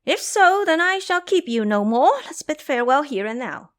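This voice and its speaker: A proud, hot-tempered monkey king from a fantasy audio drama, performed by a woman. He sounds boastful, playful and used to command. The pitch is raised and very lively. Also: normal speed. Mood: proud, defiant.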